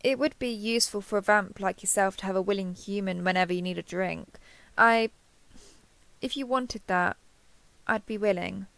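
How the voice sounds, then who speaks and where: Woman acting as a flustered, kindhearted young woman, slightly gravelly. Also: mid-range voice, normal speed, fairly bright tone, no echo. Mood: earnest, awkward. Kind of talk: intimate roleplay audio drama.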